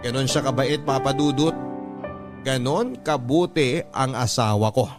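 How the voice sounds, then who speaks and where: A man's voice: mid-range voice, a little slow, close to an everyday voice. Man playing a devoted husband narrating over prominent music. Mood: tender, sincere. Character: devoted, hardworking husband and father recounting his marriage. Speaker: narrator reading his letter to a radio host. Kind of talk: sentimental radio drama narration.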